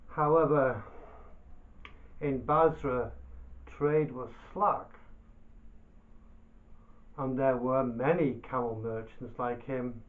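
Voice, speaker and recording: A man telling a folk tale as a storyteller, in a theatrical, deliberate manner with dramatic pauses and repetition. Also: mid-range voice. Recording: thin phone-like sound.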